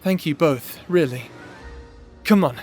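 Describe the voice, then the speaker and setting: A man's voice: fairly high pitch, slow, darkish tone, faint music behind. A man playing an earnest, nervous young adventurer in a fantasy audio roleplay, with a hesitant, eager-to-please manner. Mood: nervously eager.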